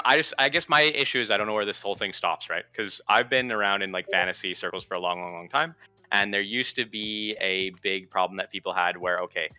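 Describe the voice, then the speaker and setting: A man's voice: lowish voice, sharp tone, smooth, dry recording. A young man in a casual online panel, rambling and swearing casually. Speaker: panel guest. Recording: dull lo-fi mic.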